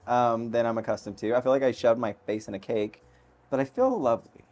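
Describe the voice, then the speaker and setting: A man's voice: medium pitch, brisk. Teasing male talk show host. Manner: teasing, deadpan banter. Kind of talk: casual interview chat.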